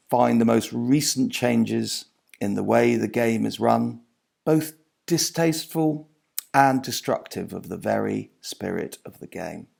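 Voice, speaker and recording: A man with a heavily creaky, gravelly voice reads a scripted book passage aloud. His delivery is measured and articulate, broken into careful phrases that drop off at the ends. He sounds like an author presenting his own writing in a literary way. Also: medium pitch, a little mellow.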